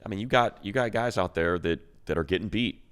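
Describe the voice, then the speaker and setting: A man's voice: fairly low pitch, brisk, a bit bright, smooth. A man working as a sports analyst, talking football casually in an emphatic, colloquial way with repeated phrases. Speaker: sports radio announcer and analyst.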